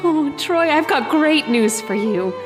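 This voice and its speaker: A woman's voice: high-pitched, expressive, slow. A woman playing a tender, insistent, eerily knowing dream lover in an old-time radio horror drama, intimate and melodramatic. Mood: tender, anxious. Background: violin music.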